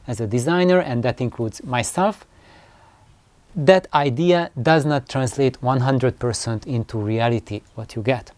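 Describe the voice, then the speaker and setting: A man giving an informal explanatory talk in a hesitant, halting way, often repeating words as he goes. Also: medium pitch, a little mellow, dry recording. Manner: acts out imagined dialogue. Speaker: loudspeaker designer.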